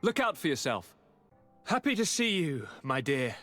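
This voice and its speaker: An actor playing a young noble governor in a video game, sickly and restless, with a theatrical, courtly and expressive delivery. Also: male voice, fairly high pitch, moderate pace, soft background music. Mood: breezy, wry. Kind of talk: video game cutscene dialogue.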